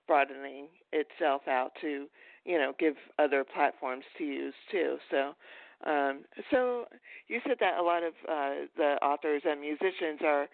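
A woman's voice: low-pitched, no echo. A woman hosting a casual podcast interview over a call, feeling her way through rambling, hesitant phrasing. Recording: narrow, tinny phone-line sound.

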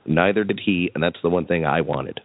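A man's voice: low voice, brisk, no room sound. A man hosting a podcast, chatting and interviewing in a casual, joking way. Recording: thin phone-like sound, very dry.

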